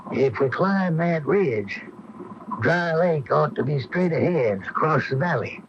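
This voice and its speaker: A man's voice: fairly high pitch, lively intonation, unhurried. An actor playing a wary, plain-spoken man in a radio drama, folksy in his manner. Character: wary, plain-spoken male companion, eager to get away. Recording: muffled 1980 radio broadcast, light hiss.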